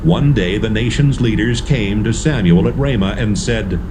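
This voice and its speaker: A man giving measured, storytelling narration for a dramatised audio Bible. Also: fairly low pitch, background noise.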